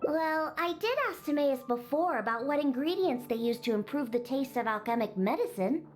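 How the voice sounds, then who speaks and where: A woman's voice: high voice, expressive, normal speed. A woman voicing a grumpy young catgirl bartender in a video game, huffy, haughty and sulky. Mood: businesslike. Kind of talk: animated game voice acting. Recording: music behind the voice.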